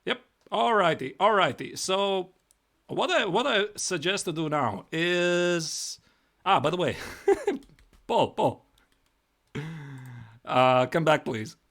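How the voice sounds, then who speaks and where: Latvian guy streaming chess, kinda high voice, repeats himself a lot. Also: lively intonation, slow pace, gravelly, pauses often, fairly bright tone. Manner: chatty, restarts words, repeats phrases. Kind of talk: casual live-stream chat.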